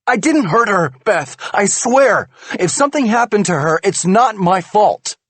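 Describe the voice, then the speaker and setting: A man's voice: high-pitched, huge pitch swings, takes it slowly, husky, no echo, voice pushed up, exaggerated voice. A man voicing a guilty, ashamed husband who pleads and defends himself in an audiobook. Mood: pleading.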